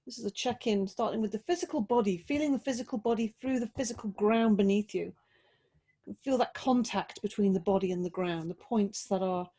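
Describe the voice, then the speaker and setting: A woman teaches yoga relaxation in a mid-pitched voice. She speaks in slow, mindful phrases, leaving pauses and gently coaxing the listener through each instruction. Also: husky. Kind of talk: guided relaxation and body check-in.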